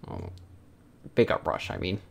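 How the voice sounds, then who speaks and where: A young man muttering haltingly to himself while working on a casual livestream. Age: young adult. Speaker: streamer. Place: small room at home, indoors.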